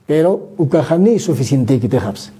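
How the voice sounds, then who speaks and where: Man, very dark tone, husky, conversational explaining, frequent restarts. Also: on the high side, sing-song, normal speed. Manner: thinking aloud, many restarts and "¿no?" tags. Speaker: guest speaker. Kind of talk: conversational explanation, mixing Spanish and Aymara.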